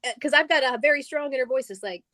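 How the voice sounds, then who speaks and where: A woman's voice: high-pitched, fast, sharp tone, no room sound, clean studio sound. A middle-aged woman, a guest on stage at a live event, talking casually and animatedly, laughing often and restarting her sentences.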